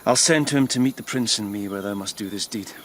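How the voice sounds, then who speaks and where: A man's voice: on the low side, fast, close to an everyday voice. A man acting a cold-blooded, scheming young nobleman in a classical verse radio drama, commanding and conspiratorial. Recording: clear ambient noise, chirping insects.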